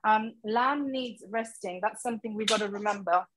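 A woman's voice: medium pitch, normal speed, background noise. A middle-aged woman casually talking through a recipe as she cooks in a home kitchen. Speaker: guest cook demonstrating a recipe. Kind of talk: casual cooking demonstration.

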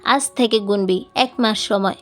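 A woman's voice: medium pitch, measured pace, faint music behind, lightly acted. A woman voicing a stern, hot-tempered man of the house who scolds and threatens, in an audiobook. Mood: angry scolding. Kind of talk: audiobook character dialogue.

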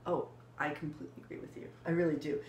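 Older lady, calm and thoughtful, talking in an echoey room. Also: sits low. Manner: measured, reflective, explaining. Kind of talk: casual conversation. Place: small room indoors. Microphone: fixed camera, seated. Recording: roomy with faint background hiss.

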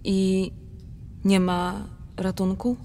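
An actress playing a passionate, fatalistic countess in a radio play of dramatic verse, intense and theatrical. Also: female voice, medium pitch, little pitch movement, drawn-out words, dark tone, big-room sound, slight background noise. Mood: fearful.

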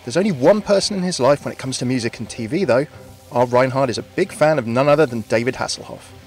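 A man's voice: mid-range voice, quick pace, soft background music. A man hosting a video with scripted narration, recorded as a close voice-over. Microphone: close dry voice-over microphone.

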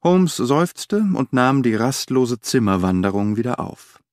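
Brief: male audiobook reader, expressive literary narration, dry close-miked sound. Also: medium pitch, unhurried, no room sound.

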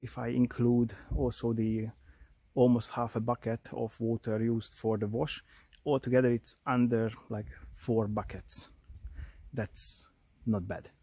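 A man's voice: mid-range voice, flat delivery, measured pace, mellow, slightly rough. A young man casually narrating a car wash on an outdoor driveway, plain and matter-of-fact, counting out amounts. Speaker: car-care vlogger.

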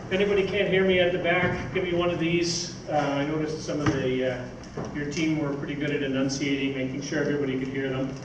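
An older man giving an informal, halting talk from notes on a stage. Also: fairly high pitch, fairly even intonation, highs cut off. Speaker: speaker, magazine editor. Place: theatre stage, indoors. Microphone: stage mic on stand, picked up by distant camera. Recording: roomy, noisy stage recording from far off.

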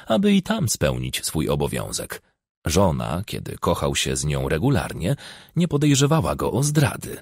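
A man's voice: fairly low pitch, creaky, a little mellow, breathy edge, no echo, clean studio sound. A man reading a novel aloud as an audiobook narrator, telling the story expressively.

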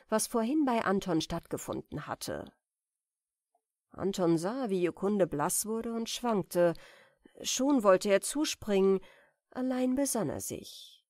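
Gentle, expressive woman reading a bedtime tale. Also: medium pitch, dry recording, studio quality. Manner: soft, deliberate, expressive. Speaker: audiobook narrator reading fairy tales.

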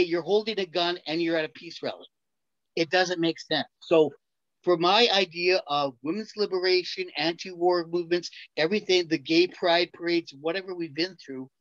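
An older man speaks up on a video call to object, arguing his point with emphasis. His voice sits fairly high for a man. The audio is dull and lo-fi, and dry. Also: a bit bright, dry recording. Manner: argumentative, emphatic. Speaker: seniors group participant. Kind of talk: group discussion on video call.

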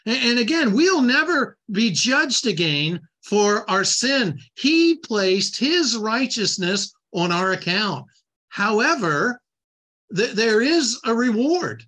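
A man in his sixties delivers a Bible lecture, quoting scripture between looser asides. His voice sits high and sounds bright and clear. He often doubles words as he restarts a thought. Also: very animated, takes it slowly. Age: 60s. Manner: repeats words and restarts, reads scripture aloud. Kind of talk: Bible teaching talk.

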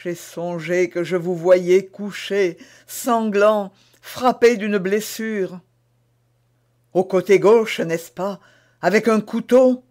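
A man's voice: slow pace, darkish tone. A man reading aloud and voicing an anguished, dignified young queen who pleads in raised cries. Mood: fearful. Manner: pleading, rising to high emotional cries.

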